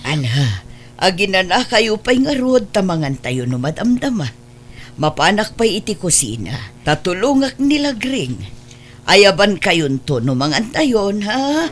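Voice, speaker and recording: A woman's voice: mid-range voice, sing-song, unhurried. A woman playing a loving, hopeful, well-off adoptive mother in an Ilocano audio drama, with an Ilocano accent. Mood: motherly.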